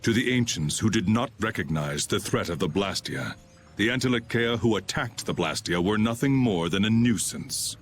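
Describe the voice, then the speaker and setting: An actor playing a grave, aloof swordsman bitter about humanity, speaking in a solemn, formal, measured way in a video game. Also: fairly low pitch, lively intonation, a little hiss or hum, natural voice. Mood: grave, condemning. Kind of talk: dramatic video game voice acting.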